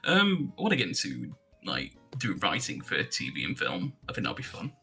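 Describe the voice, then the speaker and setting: A man's voice: sits low, wildly swooping pitch, normal speed, bright, forceful tone, breathy edge, faint music behind. A man in his twenties, a guest chatting casually on a livestream, laid-back and dry, swearing now and then. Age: 20s.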